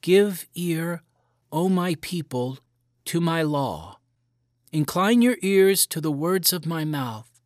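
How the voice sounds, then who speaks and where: A man's voice: fairly high pitch, lively intonation, takes it slowly, no echo, studio quality, voice pushed up. A man playing an earnest five-year-old boy. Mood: reciting.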